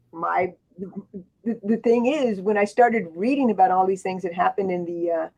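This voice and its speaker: A woman's voice: mid-range voice, a little mellow. A woman author on a book panel, telling stories in a rambling way, with many restarts and asides. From grew up in Thailand and Japan. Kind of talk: casual panel storytelling. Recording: dull lo-fi sound.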